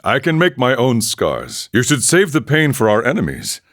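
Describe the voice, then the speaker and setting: An actor playing an aging, serene and wry warrior monk in a video game, with a deep, gravelly voice and a measured, philosophical delivery. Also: male voice, huge pitch swings, fairly bright tone, no echo, clean studio sound. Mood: gentle, measured. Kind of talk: video game voice lines and battle barks.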